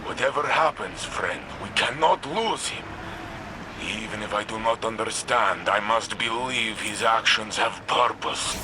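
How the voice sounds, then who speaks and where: An actor playing a grave, loyal warrior in a video game, speaking formally and earnestly in short phrases. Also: male voice, fairly low pitch, a little slow, background noise, lightly acted. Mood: solemn. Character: grave, loyal male warrior ally guiding a guardian. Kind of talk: video game radio dialogue. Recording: loud music behind the voice. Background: loud music, game sounds.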